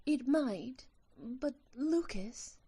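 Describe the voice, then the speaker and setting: A woman voicing a tiny, solemn, tender female sylph in an audio drama, with soft breathy delivery and formal, poetic phrasing. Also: high voice, expressive, drawn-out words, pitched above the performer's own voice, heavily acted. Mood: worried.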